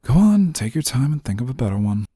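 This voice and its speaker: A man playing an exasperated, rule-bound but soft-hearted guardian angel in a scripted audio roleplay, scolding in a weary, formal way. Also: medium pitch, sing-song, quick pace, husky, no echo, clean studio sound. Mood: stern, grudging. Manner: weary, scolding, formal wording, sighs often.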